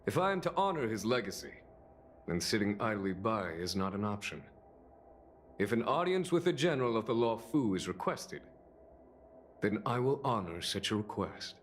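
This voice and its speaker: Calm, wry general. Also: male voice, on the low side, slight background noise. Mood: measured. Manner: measured, polite, dryly amused. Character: calm, composed male general, courteous and wry. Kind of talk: game cutscene voice acting.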